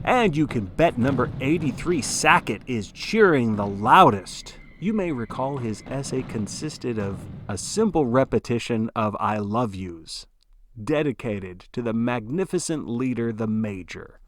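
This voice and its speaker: A grandiose male play-by-play broadcaster from a dystopian audio story, acted out by a man. He is reverent and excitable, with a very lively, mobile pitch. He takes his time over the words for theatrical weight. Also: measured pace, a little hiss or hum, natural voice. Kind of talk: play-by-play sports commentary.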